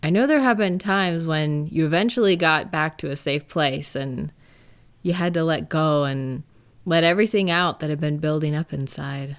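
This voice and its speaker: A gentle live-in partner played by a woman speaks in a low-pitched voice. She is tender and reassuring, taking her time as if in a private one-sided conversation. It sounds like an intimate comfort roleplay audio. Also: moderate pace. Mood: sympathetic, soft.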